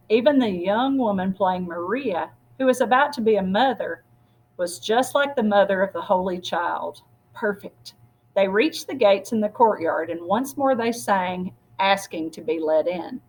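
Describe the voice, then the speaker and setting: A woman with a husky voice reading a storybook aloud expressively, as if to children. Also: medium pitch, measured pace, poor audio. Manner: expressive, acts out dialogue. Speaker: story reader.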